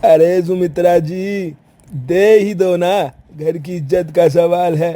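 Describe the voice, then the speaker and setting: A fussy, disapproving family elder in a storybook drama, acted by a man. His voice is high for a man and drawn out at a slow pace. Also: fairly even intonation, warm tone.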